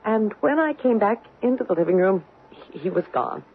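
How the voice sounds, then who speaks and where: An actress playing a frightened, fretful housewife in a vintage radio drama, speaking in a nervous, halting way. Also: female voice, medium pitch, expressive, breathy edge. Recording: narrow-band old radio broadcast.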